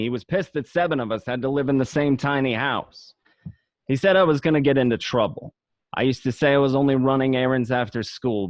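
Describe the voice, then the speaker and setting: A man plays a streetwise gangster narrating his own boyhood with swagger. It is amateur radio drama in a mid-pitched voice, recorded close and dry with a slightly dull lo-fi sound. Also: no echo, natural voice. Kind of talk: first-person narration in an amateur radio play.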